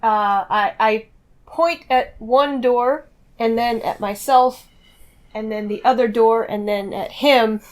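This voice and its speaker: A woman player casually narrating her character's moves in a tabletop game, thinking aloud haltingly. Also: on the high side. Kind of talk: casual improvised roleplay narration.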